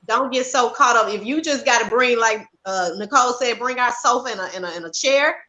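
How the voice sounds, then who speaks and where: Bright, emphatic woman, higher voice. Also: a little fast, poor audio. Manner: emphatic, repeats key phrases. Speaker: stage play writer-director, panel guest. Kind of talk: casual panel chat, giving advice.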